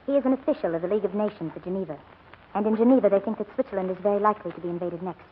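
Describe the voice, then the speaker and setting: A woman's voice: mid-range voice, rapid-fire, lightly acted. A woman acting a strained, earnest, anxious mother in a 1940s radio drama. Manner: earnest, urgent. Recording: tinny old radio recording, faint noise.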